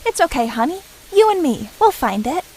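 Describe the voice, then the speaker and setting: A woman's voice: high voice, very animated, moderate pace, mellow, a little hiss or hum. A woman voice actor playing a cheerful young mother in a horror audio drama, her voice light and airy. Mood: soothing.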